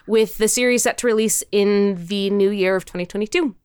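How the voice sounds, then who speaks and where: A woman answers interview questions casually, pausing and rephrasing as she thinks. Her voice is medium in pitch, smooth and clean. It is recorded very dry, with no audible room. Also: a little mellow, dry recording. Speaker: interview guest, indie audio drama creator.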